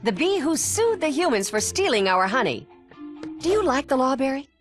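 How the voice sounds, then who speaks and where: A woman's voice: sits high, normal speed. A woman voicing a nosy, self-important female bee talk-show host in a cartoon game, dramatic and gushing, with music behind her. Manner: dramatic, animated interviewing.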